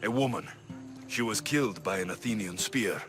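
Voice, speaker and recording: An actor playing a rugged, confident mercenary in a video game, blunt and wry. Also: male voice, lowish voice, animated, moderate pace, music in the background, natural voice. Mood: intent, serious. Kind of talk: video game cutscene acting.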